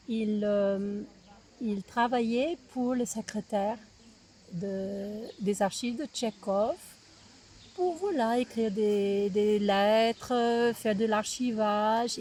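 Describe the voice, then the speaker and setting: A woman with an American accent, a guest in an interview, who speaks haltingly and fills pauses often. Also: mid-range voice, dark tone, slight background noise. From United States. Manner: hesitant, halting with many fillers. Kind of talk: casual interview.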